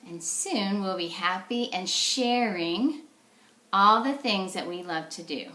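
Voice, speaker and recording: Unhurried woman teacher in an echoey room. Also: medium pitch, expressive, bright, forceful tone. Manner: simple, explanatory, questioning address to small children. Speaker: children's teacher reading stories. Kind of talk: animated storybook read-aloud for young children.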